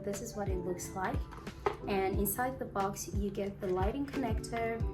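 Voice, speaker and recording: A young woman with a slightly hoarse voice from a flu, chatting casually and rambling a little while she unboxes a phone. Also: mid-range voice. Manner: chatty, rambling, uses fillers like "um" and "like". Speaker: unboxing vlogger. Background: music in the background.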